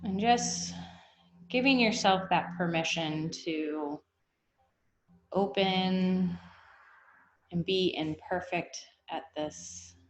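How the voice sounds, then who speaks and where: A woman guiding a meditation, speaking in an unhurried, gently instructive way with long pauses. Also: fairly low pitch, slight background noise. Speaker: meditation guide. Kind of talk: guided meditation, body scan. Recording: echoey room.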